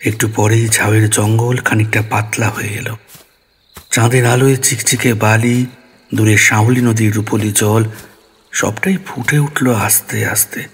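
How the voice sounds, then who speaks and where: A man's voice: fairly low pitch, steady tone, measured pace, breathy edge. A man narrating a ghost story in the first person, dramatically, acting out his own dialogue.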